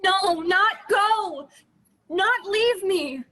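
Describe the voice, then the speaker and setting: Timid childlike future woman, radio drama, very high bright voice, halting simple speech, pleading. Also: full character voice. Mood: frightened, pleading. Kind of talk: radio play acting.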